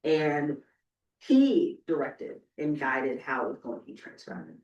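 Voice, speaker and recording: A woman's voice: sits low, sing-song, takes it slowly, darkish tone, dull audio. A woman panelist thinking aloud in a panel discussion, often asking "right?". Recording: roomy hall, speaker far from mic.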